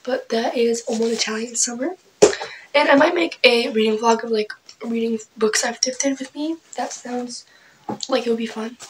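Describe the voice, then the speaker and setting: A young woman chatting casually about her book haul, clearing her throat often as she loses her voice, her asides trailing off soft and breathy. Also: on the high side, normal speed, background noise. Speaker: book vlogger. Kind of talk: casual book haul chat with read-aloud summaries.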